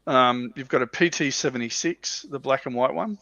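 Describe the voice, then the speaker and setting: A man chatting casually as a livestream panelist, explaining things and often clearing his throat. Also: medium pitch, normal speed, pushed and bright. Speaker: livestream panelist on tabletop wargaming. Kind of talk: casual discussion, explaining slides. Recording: dull lo-fi roomy livestream audio.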